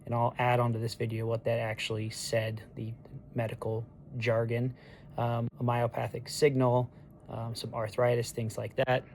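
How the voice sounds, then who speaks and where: A hoarse man in his thirties, his voice gravelly and worn the day after surgery. He talks in a flat, level way, stopping and restarting often with ums. Faint noise sits behind him in what sounds like a hospital room. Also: medium pitch. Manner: halting, with many ums and restarts. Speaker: patient sharing a recovery diary. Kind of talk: casual video diary.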